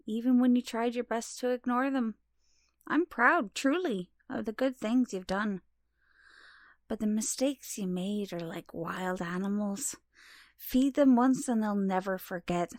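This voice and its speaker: A woman playing a gentle, knowing long-lost mother in an audio drama, maternal with a touch of reproach. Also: medium pitch, dry recording, studio quality, natural voice.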